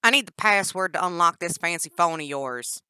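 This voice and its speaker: A woman voicing a wiry, volatile female kidnapper with an explosive temper and a Southern twang, loud and brash. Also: lowish voice, animated, moderate pace, smooth, dry recording. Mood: menacing. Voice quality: brash and shouting.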